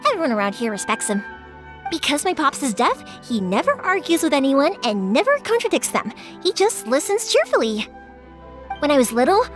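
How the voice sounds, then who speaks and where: An actress playing a lively, chatty young fireworks maker who tells stories affectionately. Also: female voice, sits high. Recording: game audio with music behind.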